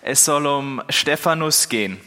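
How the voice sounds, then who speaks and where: Bright, forceful young preacher, man. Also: a little high, lively intonation, unhurried. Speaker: pastor. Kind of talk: sermon. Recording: long hall reverb.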